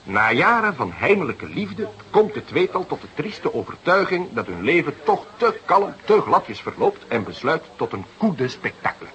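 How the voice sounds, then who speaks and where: An actor playing an eager junior detective who lays out theories emphatically and a little grandly in a detective radio drama. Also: male voice, a little high, sing-song, moderate pace, hardly any pauses, sharp tone. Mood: confident, theorising.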